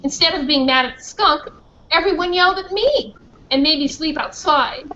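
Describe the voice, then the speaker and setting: High-pitched woman as a proud pit bull narrator. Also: normal speed, pushed and bright. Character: proud, earnest pit bull dog telling his story. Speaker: narrator of a school play. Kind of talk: scripted storytelling narration. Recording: muffled, noisy school performance recording.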